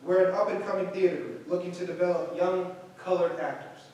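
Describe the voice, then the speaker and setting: Man playing a calm 1950s Harlem theater director, high male voice, encouraging, stage reading, very echoey. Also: fairly quick, highs cut off, close to an everyday voice. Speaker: stage actor. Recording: roomy far-off mic, faint noise.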